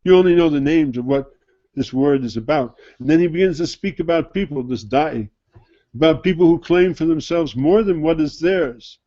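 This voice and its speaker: A man delivers a loose, conversational sermon, pitched a little high for a man but with a soft, mellow tone. He asks rhetorical questions as he teaches. The recording sounds dull and lo-fi. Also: normal speed. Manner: conversational, rhetorical questions, mimics others' attitudes. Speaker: Sufi sheikh teaching. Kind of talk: informal spoken sermon.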